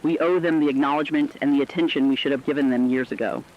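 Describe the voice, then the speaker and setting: A man playing an earnest radio correspondent, giving sombre, deliberate scripted commentary on a disaster. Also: on the high side, steady tone, natural voice. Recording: dull lo-fi radio-style sound.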